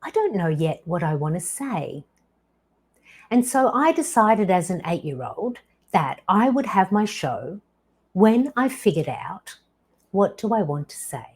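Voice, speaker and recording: A woman in her fifties hosting her own show, telling a personal story slowly and deliberately, with dramatic pauses. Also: medium pitch, sing-song, darkish tone, husky. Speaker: podcast host.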